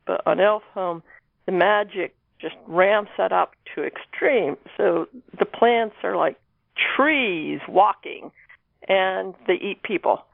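A woman's voice: medium pitch, slow, vocal fry, no room sound. A woman author being interviewed, talking casually about her own work. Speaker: guest author discussing own novel. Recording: narrow phone-like line.

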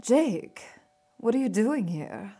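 A woman's voice: fairly high pitch, big pitch swings, slow pace, noisy background, heavily acted. A woman playing a demure, coy femme fatale with an innocent air in a period noir audio drama. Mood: coy, fretful.

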